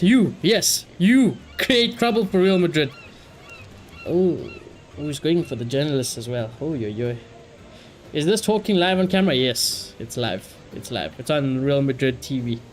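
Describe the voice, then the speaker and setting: Higher-voiced man chatting casually on a livestream. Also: lively intonation, unhurried, a little hiss or hum. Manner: opinionated, exasperated asides, repeats phrases, mild swearing. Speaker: live streamer. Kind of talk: casual live gaming stream chat.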